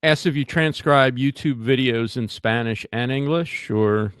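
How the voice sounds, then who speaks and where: A man hosting a casual livestream interview, measured as he reads out viewer questions. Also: medium pitch, clean tone, dry recording. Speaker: host.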